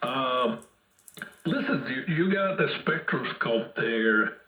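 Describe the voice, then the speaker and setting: A man heard over a two-way AM radio, talking informally and haltingly as an amateur operator on a hand mic. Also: medium pitch, lively intonation. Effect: two-way AM radio transmission. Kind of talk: casual radio contact chat. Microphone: hand microphone. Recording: muffled, echoey AM radio reception.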